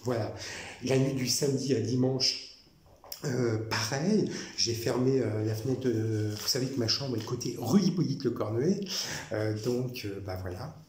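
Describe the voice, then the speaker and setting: A man from France talking in a rambling personal monologue, halting, with many fillers and restarts, repeating himself. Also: medium pitch, darkish tone. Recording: echoey room with faint noise.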